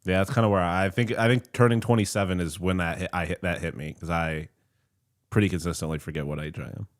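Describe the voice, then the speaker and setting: A man's voice: low-pitched, steady tone, fast talker. A man in his late twenties, co-hosting a casual sports watchalong, chatting and joking and laughing easily. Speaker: podcast co-host.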